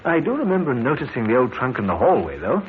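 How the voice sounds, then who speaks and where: An actor playing a smooth, evasive suspect, overly cooperative and slightly stammering, in a tinny old radio drama recording. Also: male voice, medium pitch, sing-song, fast, slight background noise, natural voice. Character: smooth evasive male suspect, nervous under questioning.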